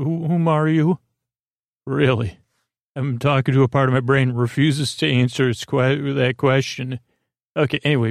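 A man speaks in a gravelly, creaky voice, close and dry with hardly any room sound. He hosts a bedtime podcast as a rambling, improvised monologue. His delivery is soothing and halting, wandering off into tangents. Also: mid-range voice, no echo. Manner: meandering, halting, trails off into tangents; soothing, lulling. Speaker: podcast host.

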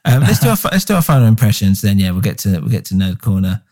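A man with a nasal voice and a very low pitch gives an album review on a podcast. His talk rambles, full of ums and you-knows. His tone is dark and mellow. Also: big pitch swings, fast talker, no room sound. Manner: rambling, hesitant, many fillers. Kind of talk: casual review chat.

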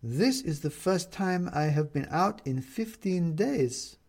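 A man's voice: on the high side, lively intonation, slow, gravelly, darkish tone, voice pushed up, exaggerated voice. A man voicing a friendly, slightly embarrassed woman neighbour in an audiobook story. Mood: kindly, matter-of-fact.

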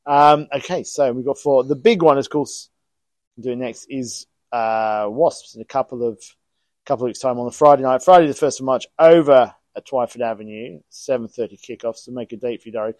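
A man's voice: medium pitch, fairly quick, darkish tone, dry recording. A man hosting a casual podcast interview, chatty and rambling, thinking aloud as he goes. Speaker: club podcast host.

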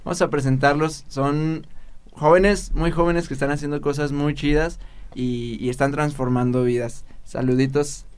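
A man's voice: a little high, steady tone, moderate pace, clean tone. A man in his twenties hosting a casual podcast and steering the talk. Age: 20s. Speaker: podcast host.

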